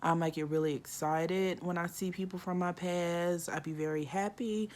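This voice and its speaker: A woman in her early forties talks to camera in a loose, reflective way, full of "like" and "um." Her voice sits fairly low. When she acts out what someone thinks, she jumps sharply up in pitch. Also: steady tone, moderate pace, darkish tone. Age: 40s. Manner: frequent "like" and "um" fillers. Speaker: vlogger. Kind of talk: casual reflective monologue.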